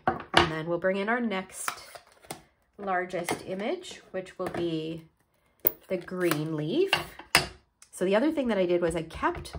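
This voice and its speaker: A woman's voice: on the low side, slight background noise. A woman from Canada explaining a card-making project step by step while she works, a little stuffed up from a cold. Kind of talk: crafting tutorial.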